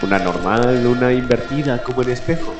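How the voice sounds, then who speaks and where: A man playing a solemn late-night host of a mysterious radio station, narrating strange news in a deliberate, dramatic way with halting pauses. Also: mid-range voice, lightly acted. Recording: echoey room with music. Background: music.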